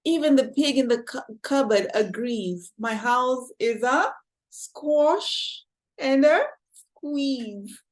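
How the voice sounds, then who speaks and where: A woman's voice: high-pitched, animated, takes it slowly. A woman with a Kenyan accent voicing a fretful little old woman who wails and pleads about her cramped house in a storybook. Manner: wailing, exclaiming and pleading.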